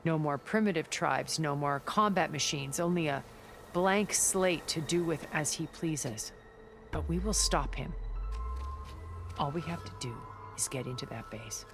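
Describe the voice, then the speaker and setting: A middle-aged woman of a far-future elite, with a low voice and measured, theatrical phrasing. She is worldly and articulate, a regretful schemer in a game cutscene, with music clearly playing behind her. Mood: resolute disdain. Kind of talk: dramatic video game cutscene acting.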